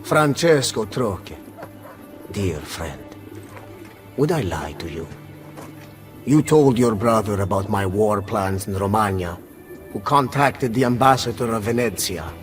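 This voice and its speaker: An actor playing a ruthless Italian warlord in a video game, imperious, mocking and theatrical, with an Italian accent. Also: male voice, fairly low pitch, animated, measured pace, long pauses. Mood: mock gentle. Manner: theatrical, mock-gentle turning to commanding. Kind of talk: dramatic video game cutscene acting. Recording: loud music behind the voice.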